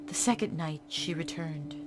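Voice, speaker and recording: A woman playing a horror visual novel, reading its text in a hush and breaking off into casual reactions. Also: sits low, moderate pace, low-quality recording. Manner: hushed reading broken by chatty reactions. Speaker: game streamer. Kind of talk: reading a game's text aloud with casual commentary.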